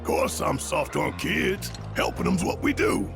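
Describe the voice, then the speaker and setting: An actor playing a gruff, big-hearted tough man with a gravelly voice and folksy, colloquial speech. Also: mid-range voice, wildly swooping pitch, measured pace, heavily acted. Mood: warm, playful. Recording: loud music over the voice.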